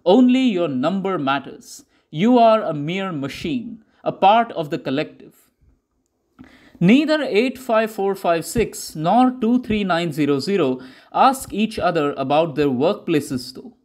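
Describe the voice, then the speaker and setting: A man with a high-pitched voice narrates a scripted newsreel documentary. He speaks in measured, declarative statements, like official propaganda. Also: animated, a little mellow.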